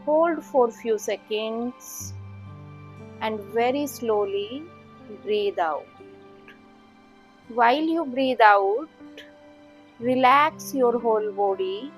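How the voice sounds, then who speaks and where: Very slow Indian woman guiding meditation. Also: fairly high pitch, soft background music. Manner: calm, unhurried, with long pauses between short instructions. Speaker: yoga and meditation instructor. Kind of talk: guided meditation, gentle spoken instructions.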